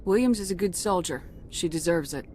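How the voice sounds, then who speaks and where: Woman playing a low-voiced, tough female commander. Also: lively intonation, brisk, warm tone, slight background noise, close to an everyday voice. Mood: firm, sober. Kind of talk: cinematic video game acting.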